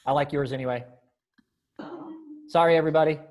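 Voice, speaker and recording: A woman's voice: sits low, steady tone, a little fast. A woman casually hosting and narrating a virtual readers theater event.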